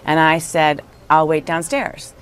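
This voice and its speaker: A woman recounting a personal experience in an interview, speaking in a halting, earnest way. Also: deep voice, steady tone. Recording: noisy interview clip playing.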